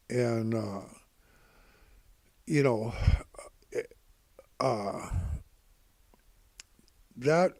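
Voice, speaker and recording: An older man giving informal, rambling financial commentary in a halting, repetitive, folksy way, calling himself Grandpa. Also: mid-range voice, mellow, no room sound. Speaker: host of stock prediction videos.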